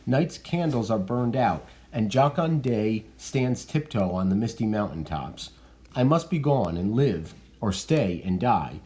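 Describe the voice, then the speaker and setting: A man reading aloud the verse of a young male lover, in a measured and lofty way. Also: lowish voice, animated, warm tone. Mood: solemn.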